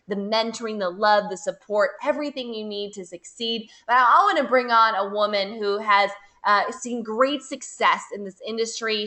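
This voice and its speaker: An American woman leading a network marketing team, giving an upbeat, persuasive webinar pitch with repeated phrases. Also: sharp tone. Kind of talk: webinar sales presentation.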